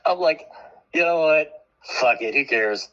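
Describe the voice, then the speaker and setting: A male radio co-host fighting off a cold, telling loose stories and laughing along the way. His voice is bright and sharp, picked up through a slightly dull, lo-fi feed. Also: a little high, expressive, takes it slowly. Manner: chatty storyteller, laughs often. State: has a cold. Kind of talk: casual on-air chat.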